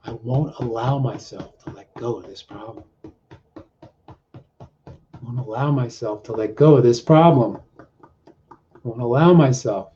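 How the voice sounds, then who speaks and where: A man working as a coach, leading a guided self-help exercise in short, deliberate, repeated phrases with pauses between them. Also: mid-range voice, mellow. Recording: dull, muffled lo-fi audio.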